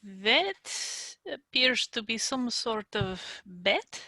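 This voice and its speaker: Woman player on a video call, slow easy talk, bright voice. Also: on the high side, expressive, no room sound. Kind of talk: casual game chat on a video call.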